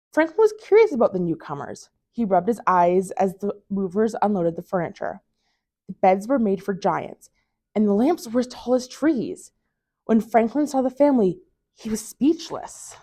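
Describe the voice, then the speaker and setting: A woman reading a children's picture book aloud as a library storytime reader, with expressive storytelling. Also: mid-range voice.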